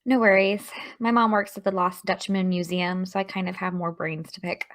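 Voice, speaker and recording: A woman's voice: on the low side, level tone, moderate pace, natural voice. An actress playing an earnest, studious teenage girl with a chatty, eager, slightly awkward manner in an audio drama. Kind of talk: naturalistic audio drama acting.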